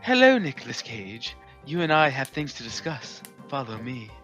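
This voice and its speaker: A man voicing a calm, regal, commanding pony princess. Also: mid-range voice, moderate pace, highs cut off, soft background music, pitched above the performer's own voice.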